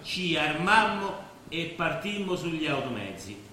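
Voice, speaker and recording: A man's voice: fairly high pitch, very animated, measured pace, bright, forceful tone, heavily acted. An actor playing a plain-spoken, proud working-class man, telling stories in an Italian stage drama. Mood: grave recital. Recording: very echoey stage, faint background noise.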